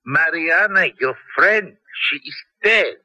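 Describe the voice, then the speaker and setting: Menacing prison captain, forceful, taunting, dry studio, 1970s radio drama. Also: male voice, on the high side, lively intonation, takes it slowly, dry recording, exaggerated voice. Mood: cruel, taunting. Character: menacing male prison captain, coldly taunting, deferential to superiors.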